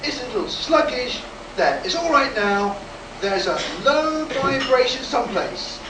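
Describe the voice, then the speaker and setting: An actor in a live amateur radio play, playing a daring, excitable test pilot reporting from his cockpit. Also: extremely high pitch, a little slow. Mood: focused, tense. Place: live stage at a convention. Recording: distant, echoey live hall recording with noise.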